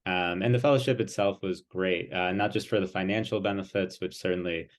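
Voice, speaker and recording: Deep-voiced man, thoughtful and hesitant. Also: fairly even intonation, fairly quick. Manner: thoughtful, hesitant, many fillers. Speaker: author and guest. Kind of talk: interview conversation.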